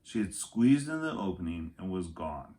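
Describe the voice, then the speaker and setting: A man reading a children's chapter book aloud as an expressive storyteller, with deliberate, dramatic phrasing. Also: low voice.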